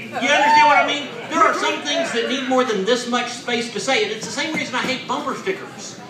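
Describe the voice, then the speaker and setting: A man in his forties delivers a stand-up set in a fairly high voice, landing deadpan, self-mocking punchlines. He sounds a little off the mic, in an echoey club with a crowd laughing. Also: a little fast, far from the mic. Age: 40s. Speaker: stand-up comedian. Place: comedy club stage with live audience.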